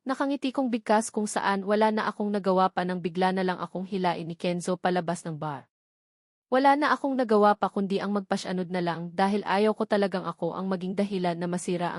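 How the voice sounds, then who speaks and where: Lady reading a romance story out loud, dry sound no room echo. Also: fairly low pitch. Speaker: audiobook narrator. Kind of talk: scripted first-person romance narration.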